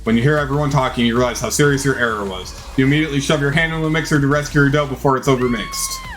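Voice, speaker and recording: A man's voice: mid-range voice, huge pitch swings, racing, a bit bright, soft background music. A man narrating a video game playthrough in a theatrical way, reading the text aloud and stumbling over the odd word.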